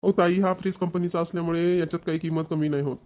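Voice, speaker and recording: A man's voice: on the high side, little pitch movement, fast talker, darkish tone, a little hiss or hum, lightly acted. A man voicing a polite, deferential shop salesman in a Marathi cartoon, with a Maharashtrian accent. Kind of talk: cartoon voice acting.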